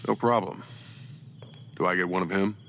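An actor playing a guarded, dutiful subordinate in a period radio drama, clipped and dryly wary. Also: male voice, deep voice, fairly even intonation, moderate pace, creaky.

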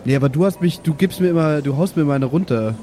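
Higher-voiced man role-playing at a tabletop. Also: quick pace, mellow, a little hiss or hum. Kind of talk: improvised in-character role-play.

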